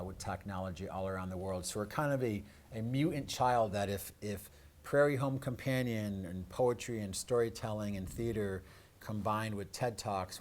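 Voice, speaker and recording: A man presenting a live talk show as host, in a hesitant, rambling way. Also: fairly low pitch. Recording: clearly noisy live-stream room sound.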